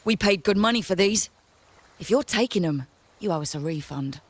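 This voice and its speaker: A medium-pitched voice as a hard-nosed video game trader. She is blunt and curt, sounding aggrieved and distrustful. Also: lively intonation, normal speed, breathy edge. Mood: indignant. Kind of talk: scripted video game voice acting.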